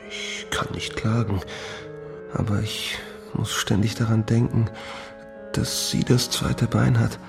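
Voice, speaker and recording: An actor playing a brooding, drily resigned patient in a radio crime drama, reflective and creaky. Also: male voice, lowish voice, sing-song, takes it slowly, over music, exaggerated voice.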